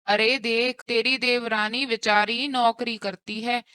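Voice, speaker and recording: A woman's voice: on the high side, fairly even intonation, slow, bright, forceful tone, clean tone, dry recording, studio quality. A woman voicing a scolding, disapproving mother-in-law in a cartoon story, with a nagging manner. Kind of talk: scripted cartoon story dialogue.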